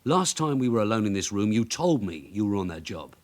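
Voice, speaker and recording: An actor playing a shrewd, dogged, middle-aged detective sergeant in a radio crime drama, blunt and wry. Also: male voice, lowish voice, very animated, a little fast, a little mellow. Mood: needling, dogged.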